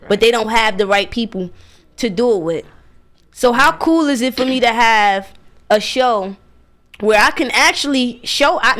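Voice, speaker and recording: A woman's voice: medium pitch, a bit bright. A young American woman speaking casually and self-assuredly in a radio interview, stressing her points and repeating phrases. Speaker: radio DJ and show host.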